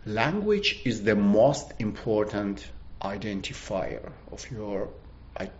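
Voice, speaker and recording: Middle-aged Azerbaijani man, native Azerbaijani speaker, fairly low voice, hesitant and restarting, muffled recording. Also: big pitch swings. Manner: halting, searching for words, frequent restarts. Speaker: guest. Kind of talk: casual conversation. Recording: muffled with faint background hiss.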